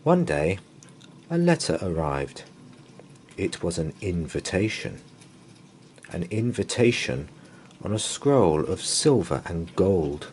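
A man reading a children's bedtime story aloud in an expressive, dramatic way with drawn-out pauses. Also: low voice, a little hiss or hum. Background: open fire ambience.

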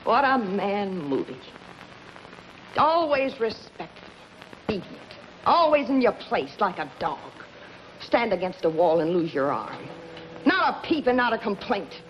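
An actress playing a bitter, desperate gangster's moll with a sardonic, clipped, dramatic delivery in a 1950s television crime melodrama. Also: female voice, mid-range voice, very animated, measured pace. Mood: taunting. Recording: muffled, noisy 1950s television soundtrack.

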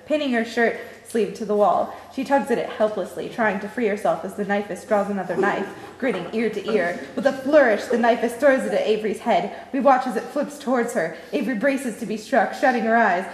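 A woman's voice: medium pitch, a little fast. Young woman narrating in an echoey room. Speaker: reader of stage directions. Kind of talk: scripted narration at an informal table read. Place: indoor lounge with a seated group reading scripts. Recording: echoey room with long reverb.

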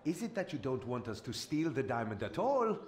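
An actor playing a roguish, easygoing young thief in a video game, talking in a casual, wry way. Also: male voice, medium pitch, very animated, a little fast, natural voice. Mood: cheeky, probing. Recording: roomy echo with noticeable background noise.

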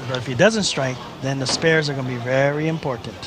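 A man doing color commentary on a bowling match, reacting excitedly to each shot. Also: medium pitch, quick pace, noisy background. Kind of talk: live sports commentary. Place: bowling center.

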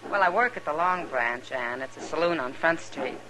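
A woman's voice: low voice, expressive, moderate pace. An actress playing a tough, frank but kindly American saloon woman in a 1950s radio western. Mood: friendly. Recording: noisy old radio recording.

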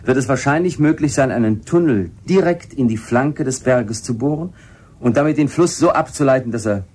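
An actor playing a confident, genial cave researcher in a German radio play. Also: male voice, medium pitch, normal speed, a little mellow, slightly rough, lightly acted. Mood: confident, enthusiastic.

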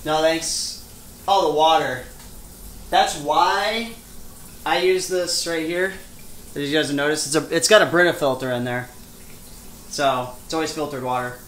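A man with a slightly high voice hosts a casual cooking livestream. He talks informally, with the odd swear word, as he reads out comments. The room is echoey, with some kitchen noise behind him. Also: a little slow, pauses often, a bit bright. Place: home kitchen, indoors. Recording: roomy kitchen, clear background noise.

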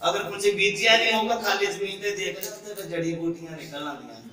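A man's voice: sits high, fast. A young man preaching to a congregation, quizzing the crowd in call-and-response. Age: young adult. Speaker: pastor. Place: church hall with congregation. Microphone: handheld mic at a pulpit, filmed from afar. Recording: echoey hall, distant mic, crowd.

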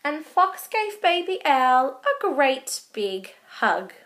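A woman in her thirties reading a picture book aloud to young children, slow, gentle and expressive, with asides to her listeners. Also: high voice. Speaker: children's story reader. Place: small room with bookshelves, indoors.

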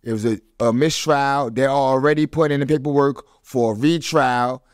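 A man's voice: medium pitch, steady tone, normal speed, smooth, no echo. A man hosting a casual podcast chat, telling things in street slang, close on a studio microphone. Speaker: podcast host. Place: small studio with foam panels and curtains.

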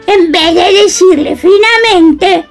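A ditzy Argentine housemaid in a radio comedy. Her voice is tiny, extremely high and squeaky, and she drags her words out slowly between whimpers and giggles. Music plays faintly behind her. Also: exaggerated voice. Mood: sheepish, giggly. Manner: slow, whiny delivery with giggles and sobs.

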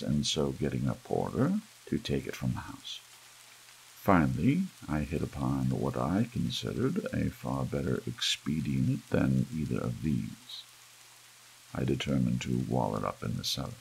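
A man with a gravelly, husky voice reads a horror story aloud in a theatrical, brooding ASMR audiobook style, with emphatic swells. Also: a bass voice, slight background noise, poor audio. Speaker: audiobook reader. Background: soft rain.

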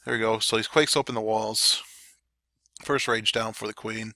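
A man giving relaxed, chatty play-by-play commentary over game replays, his throat a little dry. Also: lowish voice, normal speed, no echo. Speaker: clan war recap commentator.

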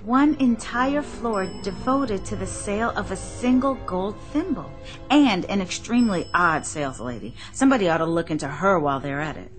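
A woman's voice: mid-range voice, animated, moderate pace, lightly acted. An actress playing an indignant, insistent woman customer at a department store in a radio play. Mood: indignant, sarcastic. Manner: insistent, complaining. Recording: music playing behind the voice.

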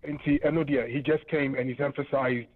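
A Ghanaian man calling in to a TV program, speaking in a measured, hesitant way. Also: mid-range voice, steady tone. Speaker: caller, family member. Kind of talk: phone-in interview. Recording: tinny phone line.

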